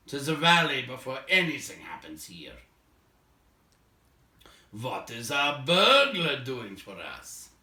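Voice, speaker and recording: A man's voice: medium pitch, sharp tone. A man voicing a discontented male dwarf in a storybook, complaining emphatically with sarcastic impatience. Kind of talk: storybook character voice. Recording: roomy echo, distant microphone.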